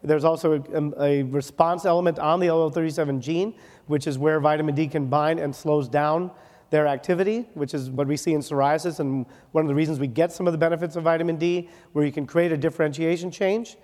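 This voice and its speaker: A man's voice: on the high side, fairly even intonation, a little mellow. Man lecturing in a reverberant hall. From Wisconsin. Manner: joking, rapid, ends points with "okay?". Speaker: dermatologist lecturer. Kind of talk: conference medical lecture. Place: conference hall.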